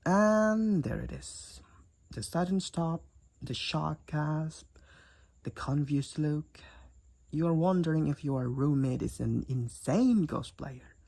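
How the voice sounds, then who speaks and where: A woman's voice: deep voice, mellow, full character voice. A woman playing a cocky, teasing demoness college roommate and gamer in a roleplay audio drama, with a wry, theatrical delivery. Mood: smug, teasing. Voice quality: raspy, creaky. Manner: teasing, wry, theatrical, addresses the listener as Sugar.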